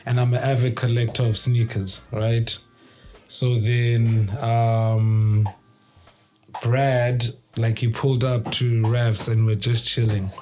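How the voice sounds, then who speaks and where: A man from South Africa, a music producer, answering interview questions in a laid-back, unhurried way. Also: medium pitch, level tone, a little mellow. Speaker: guest, producer and rapper.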